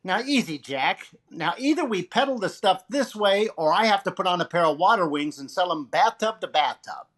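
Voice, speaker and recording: Radio variety host, played by a man, wisecracking and theatrical, very lively intonation. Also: high-pitched, moderate pace, few pauses. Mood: bantering. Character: wisecracking male comedian hosting a radio variety show. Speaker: radio show host and comedian. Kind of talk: 1940s radio comedy recreation.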